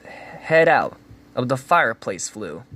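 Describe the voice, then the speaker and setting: Man, dramatic storybook reader, rhythmic rhyming delivery, mid-pitched voice. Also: big pitch swings, slow, fairly bright tone.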